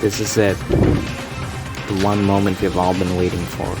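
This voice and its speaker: A man's voice: low voice, level tone, measured pace. A man doing casual let's-play commentary as he plays through a visual novel. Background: loud game music.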